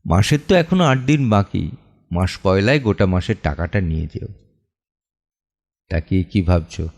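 A man playing an anguished, protective middle-aged father in an audio drama monologue, with halting, emotive delivery full of pleas and pauses. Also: fairly low pitch, gravelly, a little mellow, exaggerated voice. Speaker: voice actor and reciter. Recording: music under the voice. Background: background music.

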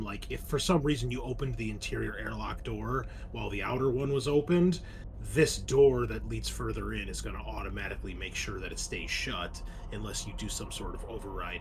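A man's voice: fairly low pitch, a little hiss or hum. A man hosting as game master, chatting casually and explaining the scene to players and listeners in a loose, conversational way.

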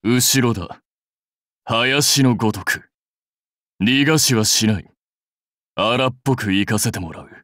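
An actor playing a proud, fierce warlord general in a mobile game, terse, rough and commanding. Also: male voice, mid-range voice, measured pace, pauses often, a bit bright, no room sound, studio quality, natural voice. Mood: cool, menacing. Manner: terse, rough, declamatory delivery. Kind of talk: anime-style mobile game battle and dialogue voice lines.

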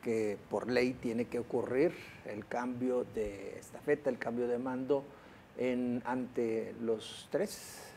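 A man's voice: medium pitch, fairly even intonation, takes it slowly, a little mellow, slight background noise. A Mexican man commenting on the news in a panel, conversational, with frequent hesitant fillers.

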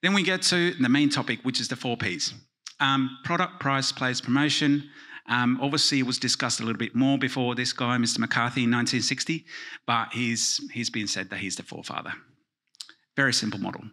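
A man in his thirties from Finland giving a joking conference keynote in a large lecture hall, speaking into a handheld microphone and dropping into quiet asides. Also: medium pitch, fairly quick. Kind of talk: conference talk with jokes.

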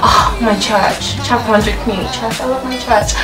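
A young woman from Ghana telling a personal story in a casual vlog, animated and laughing as she acts out her own reactions, filmed in a small bedroom. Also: a little high, normal speed, recorded from a distance. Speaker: vlogger. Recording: echoey room, voice off-mic, loud music behind.

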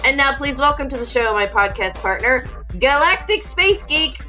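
A woman's voice: high-pitched, lively intonation, moderate pace, sharp tone, faint music behind. A woman hosting a science podcast for kids, enthusiastic and exclamatory. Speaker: podcast host. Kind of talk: upbeat kids' podcast hosting.

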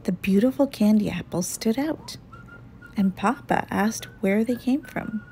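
A woman reading a children's story aloud in an expressive, deliberate, dramatic way. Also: medium pitch, slight background noise.

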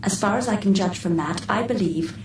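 A woman's voice: medium pitch, brisk, close to an everyday voice. A woman playing a poised, enigmatic artificial intelligence in a radio drama, with formal and precise phrasing. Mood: measured, precise. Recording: echoey, distant voice with background noise.

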